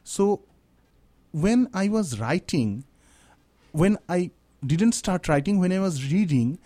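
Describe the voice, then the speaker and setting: Indian writer in his thirties, Bengali native speaker, high mellow voice, thoughtful and halting. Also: expressive. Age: 30s. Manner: reflective, searching for words, restarts phrases. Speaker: guest writer. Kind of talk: radio interview. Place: radio studio.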